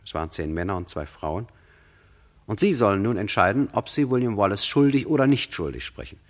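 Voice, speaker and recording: A deep-voiced male narrator tells a true crime radio play. His delivery is sober and measured, explaining things directly to the listener. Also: expressive.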